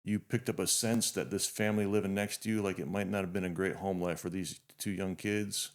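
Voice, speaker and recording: Podcast host, man, 50, very deep voice, sincere reflective delivery, restarts often. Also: fairly even intonation, brisk. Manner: earnest, reflective, frequent restarts. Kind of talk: heartfelt interview introduction.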